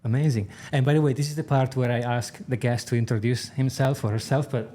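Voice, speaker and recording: A man's voice: mid-range voice, steady tone, fast talker, warm tone. A man who is not a native English speaker, hosting a casual interview in an agreeable, affirming manner. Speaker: podcast host.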